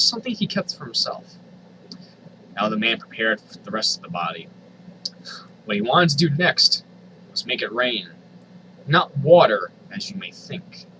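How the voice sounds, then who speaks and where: A man's voice: lowish voice, big pitch swings, measured pace, noisy background. A man reading a story aloud in a theatrical, comedic way, with incredulous asides. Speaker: host reading fan fiction aloud.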